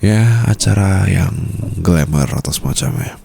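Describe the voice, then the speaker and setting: Mafia boss husband, male actor: deep, creaky voice, flirtatious and possessive, intimate ASMR roleplay delivery. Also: animated, mellow, slight background noise, full character voice. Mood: questioning, skeptical. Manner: slow, teasing murmur, mixing in English phrases.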